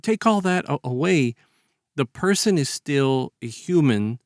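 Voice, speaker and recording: A man in a casual, reflective podcast discussion, speaking haltingly and repeating words as he builds a point. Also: a little high, lively intonation, dry recording, studio quality.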